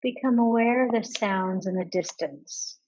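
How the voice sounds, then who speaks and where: A woman guides a meditation with a medium-pitched voice. She gives calm, measured instructions and leaves pauses between them. The recording is a little dull and lo-fi. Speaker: yoga nidra meditation guide.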